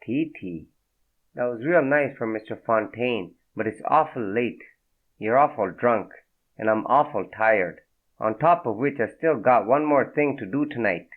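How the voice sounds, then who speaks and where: A man's voice: medium pitch, expressive, a little slow. A man playing a weary, tough-talking mobster who speaks bluntly and impatiently. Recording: tinny phone-like sound.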